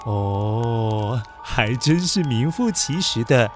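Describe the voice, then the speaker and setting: A man narrating a children's story in a gentle, coaxing storyteller manner, addressing the young listener. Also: mid-range voice, lively intonation, takes it slowly. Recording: background music under narration.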